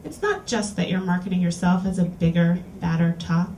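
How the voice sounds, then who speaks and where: A woman's voice: fairly low pitch, background noise. Woman reading aloud in an echoey bar. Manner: clear, deliberate reading-aloud delivery. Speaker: reading series host. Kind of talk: live reading of erotica to an audience. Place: small lounge bar in front of an audience. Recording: roomy, distant live capture.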